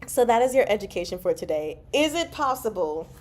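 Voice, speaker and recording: A woman hosting a casual book discussion, chatty and expressive, often pausing mid-thought. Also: mid-range voice. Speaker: book discussion vlog host. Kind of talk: casual live book chat.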